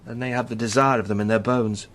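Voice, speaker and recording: An actor playing an earnest, impassioned British gentleman spy with a literary turn of speech in a radio drama. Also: medium pitch, flat delivery, fast talker, lightly acted. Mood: intense, awed. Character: Sandy, fervent eloquent British gentleman spy.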